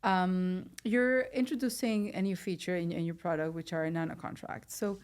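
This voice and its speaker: Lower-pitched woman, chatty podcast host. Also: fairly even intonation, normal speed, darkish tone, smooth. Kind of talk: casual conversational interviewing.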